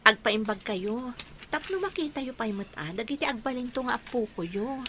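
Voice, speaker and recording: A woman's voice: on the high side, lively intonation, moderate pace, pushed and bright, a little hiss or hum. An actress playing a kind, patient young woman in a radio drama. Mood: gentle, encouraging. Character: kind, patient young fiancée and dutiful daughter. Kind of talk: Ilocano radio drama dialogue.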